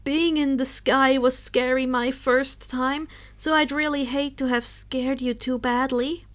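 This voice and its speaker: A woman acts out a teenage hero boy with godlike power and a high voice. He is sweet, sheepish and apologetic, speaking brightly and haltingly in a storybook reading. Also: steady tone. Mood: bashful.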